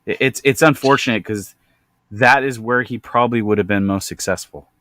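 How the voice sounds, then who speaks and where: A man's voice: medium pitch, moderate pace, fairly bright tone. A man guesting on a comics livestream, easygoing and chatting casually. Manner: easygoing, short agreeing replies. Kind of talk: casual chat.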